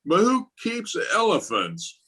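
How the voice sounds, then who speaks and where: A man acts out an older high school principal in a radio comedy. He is blustery and exasperated, with a forceful, sharp-edged voice and measured pace. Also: huge pitch swings, husky, full character voice. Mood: panicked. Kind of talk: old-time radio comedy acting. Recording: remote video-call recording.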